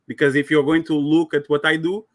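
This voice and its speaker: A man's voice: a little high, level tone, fairly quick, no echo, studio quality. A man from Portugal with a Portuguese accent, calling in and arguing insistently in broken English. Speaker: caller. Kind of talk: heated call-in debate.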